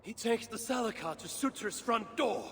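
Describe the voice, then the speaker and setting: Impatient Norse god warrior, gravelly, formal and demanding, game cutscene, clear background noise. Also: male voice, very high-pitched, lively intonation, moderate pace, a bit bright, heavily acted. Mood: urgent, demanding. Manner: terse, demanding, formal old-fashioned phrasing. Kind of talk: dramatic video game acting.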